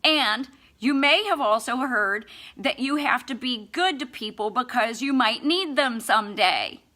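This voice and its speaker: Woman, amateur audiobook reader, slightly high pitch, very slow pace, sarcastic, emphatic, theatrical phrasing. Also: lively intonation, pushed and bright. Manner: sarcastic, mock-earnest. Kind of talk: expressive scripted book reading.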